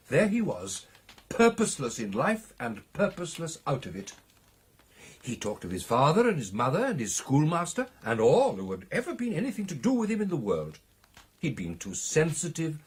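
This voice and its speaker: An actor playing an excitable British club gentleman, telling his tale in a theatrical, hesitating and emphatic radio drama style with a husky voice. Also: fairly high pitch, heavily acted. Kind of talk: dramatic storytelling in a radio play.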